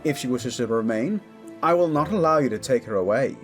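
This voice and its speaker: A man's voice: mid-range voice, lively intonation, a little fast, faint music behind, natural voice. A man playing an exiled, defiant prince and protective brother in an earnest, dramatic audio drama style. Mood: firm, protective. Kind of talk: audio romance drama acting.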